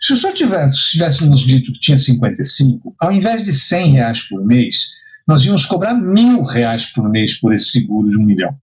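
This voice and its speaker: A man's voice: mid-range voice, very animated, normal speed, rough recording. A man with a Brazilian accent giving a conversational lecture, teaching didactically with rhetorical questions and frequent check-ins. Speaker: insurance and risk management instructor. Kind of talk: conversational lecture with hypothetical examples.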